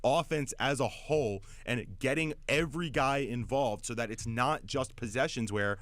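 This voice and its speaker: A man's voice: mid-range voice, moderate pace, fairly bright tone, dry recording. A man hosting sports talk radio, giving opinionated analysis emphatically and often repeating words as he gets going. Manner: emphatic, stammering repetitions. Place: radio studio.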